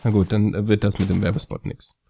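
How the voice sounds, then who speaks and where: A man making deadpan jokes during a casual gaming chat. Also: on the low side, fast talker, gravelly, a little hiss or hum.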